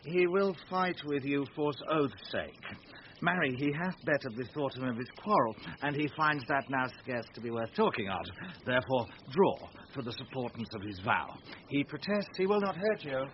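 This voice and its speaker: An actor playing a boisterous, scheming knight in a Shakespearean radio comedy, blustering with mock-solemn theatrical delivery. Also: male voice, medium pitch, lively intonation, moderate pace, natural voice. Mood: urging, exaggerating. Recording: dull lo-fi radio recording, noisy.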